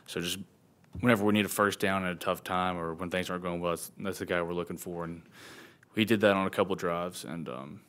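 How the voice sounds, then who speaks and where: Young guy, college qb doing postgame answers, pretty low voice. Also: steady tone, fairly quick, fairly bright tone. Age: 20s. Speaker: college football quarterback. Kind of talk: postgame press conference answers. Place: press conference table indoors.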